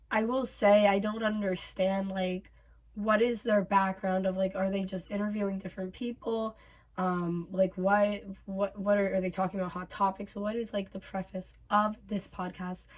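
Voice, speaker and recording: Raspy-voiced young woman vlogging casually. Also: medium pitch. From North America. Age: 20s. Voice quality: casual, rambling with fillers. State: raspy from bronchitis. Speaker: YouTube host. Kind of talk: casual reaction vlog.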